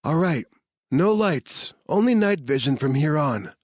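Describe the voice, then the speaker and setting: A man's voice: fairly high pitch, big pitch swings, measured pace, darkish tone, no room sound, voice pushed up. A man voicing a female team leader giving orders before a raid, his voice raised well above his own. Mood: commanding.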